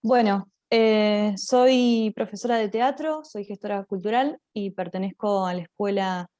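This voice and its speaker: Mellow-voiced Argentine woman presenting. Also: medium pitch, measured pace, no room sound, muffled recording. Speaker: drama teacher presenting at a conference. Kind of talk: spoken conference presentation.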